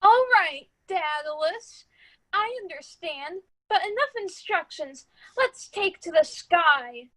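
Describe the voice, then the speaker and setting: Girl doing eager reckless kid in a radio play, super high voice, exclaims everything. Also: animated, slow, a bit bright, full character voice, low-quality recording. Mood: impatient. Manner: exclamatory, impatient, wide-eyed. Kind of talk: amateur student radio drama acting.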